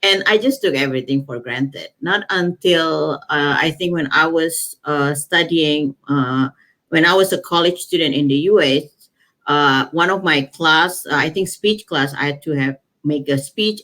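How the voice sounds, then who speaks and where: A woman in her forties with an Indonesian accent tells her story as an interview guest, her voice sitting a little low. She is lively and informal, slipping in plenty of "like, you know" and laughing here and there. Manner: chatty and lively, laughing often, many "like, you know" fillers. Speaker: guest, climate activist.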